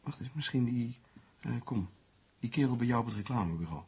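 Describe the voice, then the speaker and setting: An actor playing a skeptical, teasing newspaper journalist who reasons things out in a sardonic way, in a Dutch radio play. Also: male voice, medium pitch, animated, fairly quick, a little mellow, husky. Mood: pondering, analytical. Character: skeptical, teasing male newspaper journalist, analytical amateur sleuth.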